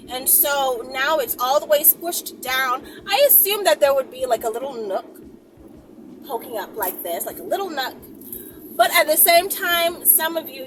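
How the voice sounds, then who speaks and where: A woman hosting a casual vlog, chatting in a rambling, playful and bossy way, as if giving viewers instructions. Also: high-pitched. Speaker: vlog host. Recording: very noisy, distant mic, vehicle ambience.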